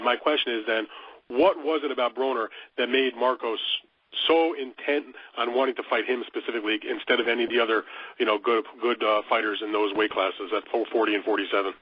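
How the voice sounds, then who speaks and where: A man's voice: medium pitch, no echo. A male sports reporter asking a wordy, conversational question on a press call over the phone. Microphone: telephone. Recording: muffled conference phone line.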